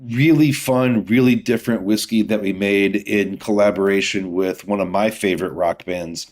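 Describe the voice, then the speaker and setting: A man with a fairly low voice talks as a guest on a casual interview show. He explains in an informal, conversational way, often dropping in "you know" as he goes. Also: fairly even intonation, moderate pace, darkish tone. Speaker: guest, distillery founder and whiskey maker.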